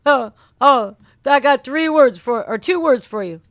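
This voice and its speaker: A woman's voice: high-pitched, expressive, unhurried, no echo, clean studio sound. An American woman hosting a livestream reaction, chatting and ranting casually in blunt, sweary, folksy slang. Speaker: reaction video host. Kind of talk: casual livestream rant and chat.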